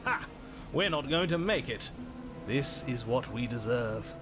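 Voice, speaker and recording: A man's voice: mid-range voice, animated, unhurried, lightly acted. Theatrical lovestruck romantic man played by an actor. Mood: fervent resignation. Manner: theatrical, florid. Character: lovestruck, melodramatic romantic hero, an inner voice. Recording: game audio with music behind.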